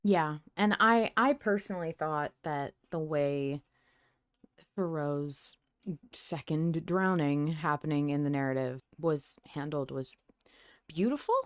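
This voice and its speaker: A woman's voice: low voice, slow pace, no echo, clean studio sound. A woman hosting a podcast in casual, conversational fan discussion. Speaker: podcast host.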